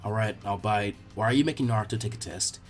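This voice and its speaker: A man voicing a laid-back, sceptical young ninja boy with a low-key, unimpressed delivery in a story reading. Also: fast, soft background music, natural voice. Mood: sceptical, bored.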